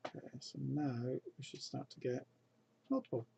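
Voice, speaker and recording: A man's voice: medium pitch, very animated, normal speed, creaky, background noise. A game developer, a man narrating his own coding in a recorded video, muttering each step as he works. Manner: mutters his steps as he codes. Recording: keyboard typing clicks behind.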